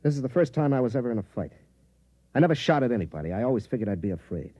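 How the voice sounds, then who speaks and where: An actor playing a cynical middle-aged American career soldier in a 1950s radio drama, plain-spoken and brooding. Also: male voice, mid-range voice, sing-song, very fast, mellow, no room sound. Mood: wary, resigned. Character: weary, cynical career soldier, twenty years in army. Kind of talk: 1950s radio drama acting, first-person narration. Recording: old band-limited 1950s radio recording.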